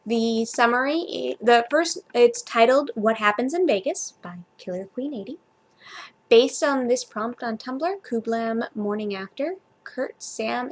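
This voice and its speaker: A woman vlogger chatting casually and reading a story aloud informally, sometimes stumbling over her words. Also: a little high.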